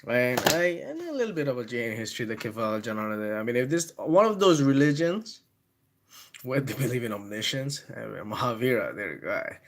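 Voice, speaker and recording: A man's voice: medium pitch, sing-song, a little fast. Rambling, laughing Bengali-accented man. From Bangladesh. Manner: rambling, irreverent, laughs between thoughts; reads passages aloud in a louder, declaiming voice. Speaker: host reading and reviewing a book. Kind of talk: casual livestream book talk.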